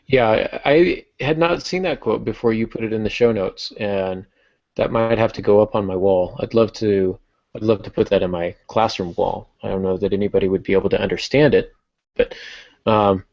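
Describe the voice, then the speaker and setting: A man speaking casually as a podcast co-host, with a gravelly voice. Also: fairly low pitch, normal speed, no room sound, dull audio. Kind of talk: casual chat.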